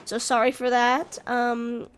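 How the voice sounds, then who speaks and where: A young woman playing a video game and chatting to viewers, sick with a stuffy nose and a hoarse voice. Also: fairly high pitch, monotone, slow pace, soft background music. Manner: apologetic, bubbly chatter with laughs. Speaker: gaming YouTuber. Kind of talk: let's-play commentary.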